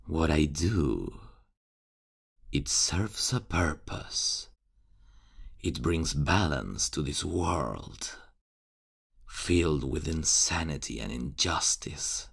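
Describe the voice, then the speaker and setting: A man playing a gravel-voiced mocking serial killer. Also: extremely low pitch, lively intonation, heavily acted. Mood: menacing. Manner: taunting, chuckling, slow and hushed. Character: menacing mocking adult male serial killer. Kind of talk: ASMR horror roleplay.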